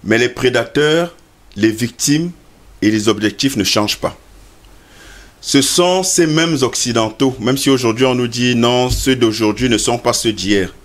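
A man's voice: mid-range voice, unhurried. A man in his thirties speaking firmly as a guest in a TV studio debate. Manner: firm, declarative. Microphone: lapel mic.

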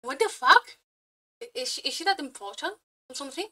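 Excitable girl streamer reacting, high bright voice, sweary and gushy, some music behind her. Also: brisk. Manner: gushing, exclamatory, swearing freely. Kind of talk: casual excited reaction commentary. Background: game trailer music.

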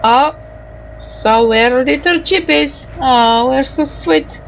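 Slow-talking woman commenting on a game. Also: high voice, creaky, a little hiss or hum, rough recording. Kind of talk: casual playthrough commentary, reading dialogue aloud.

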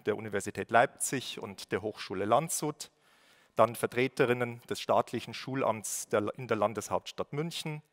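A man in his forties with a Bavarian accent delivers a formal welcome address from a lectern. His pitch sits in the middle. He reads at a measured pace, with the occasional hesitation. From Lower Bavaria. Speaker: city education official giving a welcome speech. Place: stage at a lectern, indoors. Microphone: lectern microphone.

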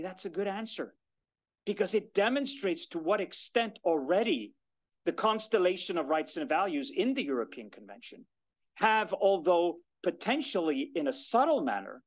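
A man in his thirties gives a formal keynote from a lectern, his voice sitting high for a man and swinging widely in pitch. He leans hard on key words and slows down to make a point. The sound carries across a mid-sized conference room. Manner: emphatic, deliberate, gesturing at a lectern. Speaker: keynote speaker. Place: conference room, medium size, indoors. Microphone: standing at a lectern, filmed by a far camera.

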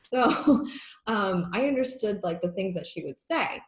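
A woman's voice: mid-range voice, expressive, a little slow. A young woman from Massachusetts whose first language is Korean, chatting at home over a video call in a rambling way, laughing often and using many filler words. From United States, Massachusetts. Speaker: conversation guest. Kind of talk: casual reflective chat. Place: home room. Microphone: video call laptop mic. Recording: roomy echo on a video call.

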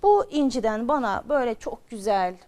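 A woman's voice: fairly high pitch, expressive, moderate pace, a little mellow, lightly acted. A woman storyteller voicing a haughty, cruel male ruler who gives pompous orders in a children's tale. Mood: vain, demanding. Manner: commanding, pompous.

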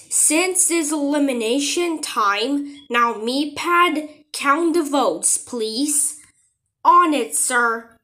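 High bright woman voicing amateur cartoon dialogue.

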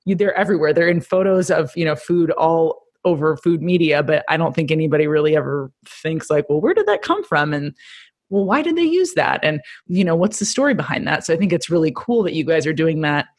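Husky-voiced woman podcast host. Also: low voice, animated, brisk. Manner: enthusiastic, chatty. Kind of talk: casual interview chat.

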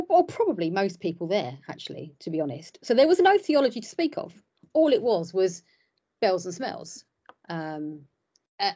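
A middle-aged woman with a fairly low voice, Cypriot Greek her first language, talking in a loose, self-deprecating way full of restarts. She is speaking from home on a laptop webcam. The video call audio sounds dull and lo-fi. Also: darkish tone. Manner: chatty and self-deprecating, with many fillers and restarts. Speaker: ordinand and hairdresser. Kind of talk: casual interview on a video call. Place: home room by a window.

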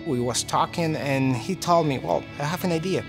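A man's voice: on the high side, moderate pace, creaky. A man, a chemical engineer speaking carefully and searching for his words in an interview. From Venezuela. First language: Spanish. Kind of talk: documentary interview. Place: indoor maker workshop. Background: background music.

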